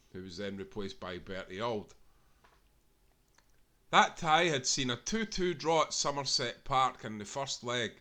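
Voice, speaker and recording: A man reading a football history book aloud in a steady, measured way, speaking as a proud supporter of his club. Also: medium pitch. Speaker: audiobook reader.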